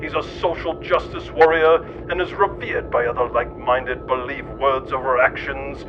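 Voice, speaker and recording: A man's voice: medium pitch, fairly even intonation, moderate pace. A man reading scripted satirical narration in a sardonic, mocking manner. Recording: dull lo-fi sound, music behind. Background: clear background music.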